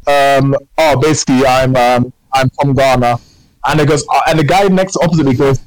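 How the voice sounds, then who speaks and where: A man's voice: medium pitch, steady tone, fairly quick, no echo, rough recording. A man from England, telling a story on a casual podcast chat, full of fillers and repeated phrases. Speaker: podcast co-host.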